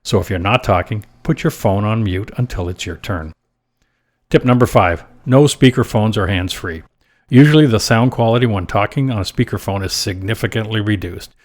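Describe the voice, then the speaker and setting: Low-pitched man presenting scripted business advice. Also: moderate pace. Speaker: host of a short business advice podcast.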